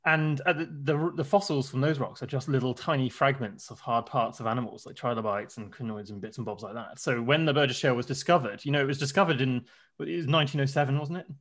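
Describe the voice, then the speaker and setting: A podcast host chatting casually, quick to laugh and stopping to explain things for listeners. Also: mid-range voice, fairly bright tone. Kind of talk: casual chat.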